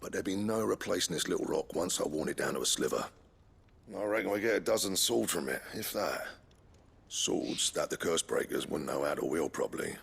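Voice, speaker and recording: An actor playing a gruff, proud older blacksmith in a video game, with a blunt, wry, colloquial manner. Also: male voice, sits low, a bit bright, full character voice. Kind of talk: video game cutscene acting.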